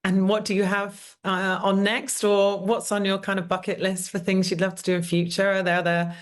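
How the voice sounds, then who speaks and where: A woman's voice: medium pitch, little pitch movement, moderate pace. Woman asking long, rambling interview questions. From United Kingdom.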